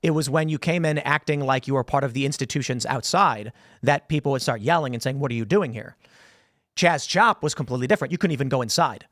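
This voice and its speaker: A man in his thirties hosts a political talk show from a studio, speaking into a boom-arm mic. He tells stories animatedly, restarting phrases often. The sound is dry and close, with no room echo. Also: fast. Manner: animated storytelling with many restarts and gestures. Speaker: podcast host. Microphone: studio mic on boom arm, headphones.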